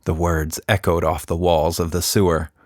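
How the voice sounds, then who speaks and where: A man's voice: low-pitched, level tone, normal speed, darkish tone, no echo, clean studio sound. A man hosting a podcast for children, chatting casually and narrating a story.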